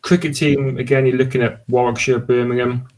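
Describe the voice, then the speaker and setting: A man talks as a podcast guest in an easy, enthusiastic ramble. His voice sits at an ordinary middle pitch, and he often stops and restarts his sentences. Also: little pitch movement, a little fast, darkish tone. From Cardiff, Wales. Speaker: podcast guest and sports podcast host. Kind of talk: casual podcast conversation.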